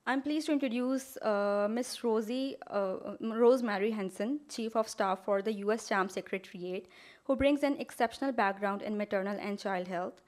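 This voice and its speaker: A woman's voice: mid-range voice, moderate pace, a little mellow. A woman moderating a webinar, formally introducing a speaker with some hesitant fillers.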